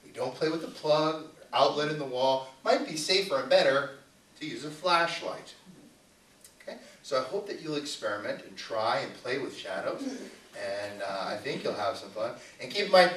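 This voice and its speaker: A man's voice: mid-range voice, fairly bright tone. A man presenting as a puppeteer to a family audience, informal, instructive and playful, live in an indoor venue. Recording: echoey room, distant mic.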